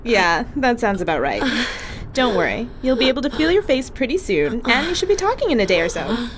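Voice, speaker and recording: A woman's voice: on the high side, fairly quick, noisy background. An actress playing a casual, teasing young woman who works as a spaceship medic. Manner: chatty, animated storyteller, casual and teasing. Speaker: ship medic.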